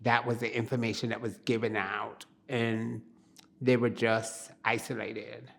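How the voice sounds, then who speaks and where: Slow-talking older woman. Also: rumbling low, little pitch movement, vocal fry. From Barbados. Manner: slow, reflective, plain-spoken storytelling. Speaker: interviewee. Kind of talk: oral history interview.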